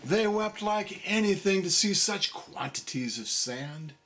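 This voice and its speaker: A man reciting a comic narrative poem in a dramatic, deliberate storybook manner. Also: sits high, expressive, fairly bright tone, a little hiss or hum.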